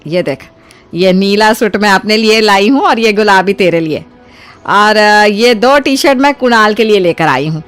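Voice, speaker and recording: A woman playing a kind, generous older mother-in-law in an acted moral story, speaking in an expressive, colloquial, motherly way. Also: medium pitch, moderate pace, smooth, soft background music, close to an everyday voice. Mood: chatty, tired.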